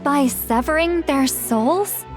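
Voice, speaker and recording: A woman's voice: sits high, slow. A woman playing a plain-spoken young shaman in a video game, casual and questioning. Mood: puzzled. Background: music.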